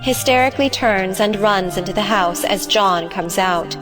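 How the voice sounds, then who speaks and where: Female narrator, mid pitch, scripted stage directions, faint music behind. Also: normal speed.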